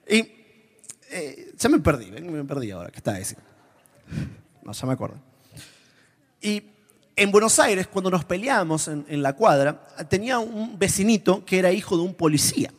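Animated Argentine preacher, high voice. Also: male voice. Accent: Argentine Spanish. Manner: humorous, animated storytelling, shifts to whispers. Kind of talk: sermon with personal stories. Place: church before a live congregation.

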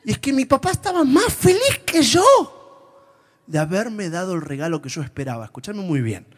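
A man from Argentina with an Argentine accent, preaching a sermon to a congregation and telling humorous stories with lively, animated delivery. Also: high voice, normal speed. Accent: Argentine Spanish. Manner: humorous, animated storytelling, shifts to whispers. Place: church before a live congregation.

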